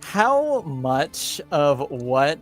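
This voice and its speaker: A man acting as game master, talking casually and deliberately at the table. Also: fairly high pitch, big pitch swings, faint music behind.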